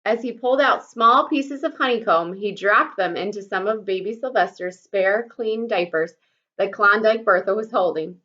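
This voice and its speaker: A woman's voice: mid-range voice, normal speed, pushed and bright. A woman reading a children's story aloud expressively, like a teacher, in slightly dull audio.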